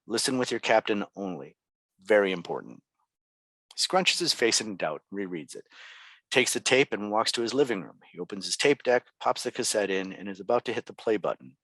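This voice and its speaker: A man's voice: fairly low pitch, lively intonation, a little fast, fairly bright tone, no room sound. A man reading scene directions as scripted narration at a table read. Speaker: stage directions reader.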